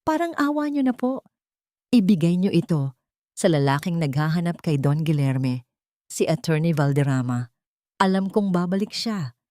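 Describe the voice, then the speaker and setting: A woman voicing a desperate, humble young maidservant in an audio story, pleading in a hushed, hoarse voice. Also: low voice, expressive, normal speed, long pauses, no echo, studio quality. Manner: pleading, respectful.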